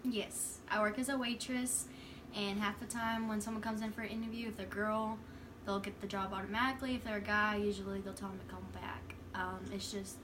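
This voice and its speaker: A woman's voice: medium pitch, flat delivery, brisk. A woman from Texas, a waitress answering interview questions plainly. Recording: distant mic, clear background noise.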